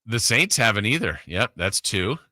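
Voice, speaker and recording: Man, very bright forceful voice, lowish pitch, sports talk radio host, conversational, dry studio sound. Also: sing-song, normal speed, dry recording. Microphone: close broadcast studio mic.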